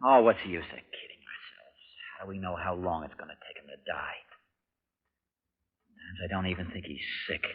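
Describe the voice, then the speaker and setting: An actor playing a bitter, worn-down young husband with an intense, brooding delivery in a 1940s radio drama. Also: male voice, low voice, brisk, pauses often, husky. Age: young adult. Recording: noisy, tinny old radio recording.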